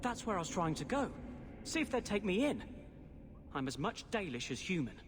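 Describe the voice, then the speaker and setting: A man's voice: high voice, very animated, normal speed, in a large hall, noisy background. A man playing an earnest young half-elf mage in video game dialogue. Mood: pleading.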